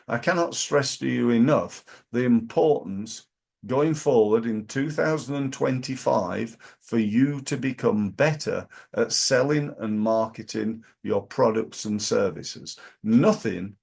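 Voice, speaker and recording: A man from Yorkshire with a Yorkshire accent and a hoarse voice, giving business advice to camera in his office, emphatic and repetitive, with many fillers. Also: mid-range voice, a little mellow. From Yorkshire, England. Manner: emphatic, repeats key phrases, many fillers. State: hoarse from flu. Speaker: business coach. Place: office, in front of a backdrop screen.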